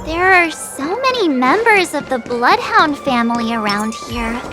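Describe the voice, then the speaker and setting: Very high, perky teenage girl. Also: measured pace. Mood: curious. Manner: expressive, questioning, playful. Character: perky, inquisitive, cheeky teenage girl adventurer. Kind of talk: video game story dialogue. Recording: studio voice over game music. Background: background music.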